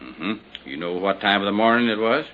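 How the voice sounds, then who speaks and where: A man's voice: fairly low pitch, expressive, brisk, close to an everyday voice. An actor playing a laconic male small-town sheriff in an old radio drama. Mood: official. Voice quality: laconic, clipped. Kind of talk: 1950s radio drama acting. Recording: dull old radio recording, faint hiss.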